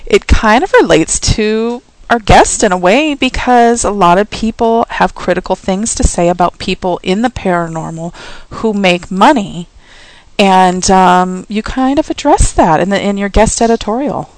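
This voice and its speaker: A woman's voice: medium pitch, expressive, normal speed, dry recording, low-quality recording. A woman co-hosting a casual chat show, often hesitating and restarting her sentences. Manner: hesitant, many restarts and ums. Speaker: podcast co-host.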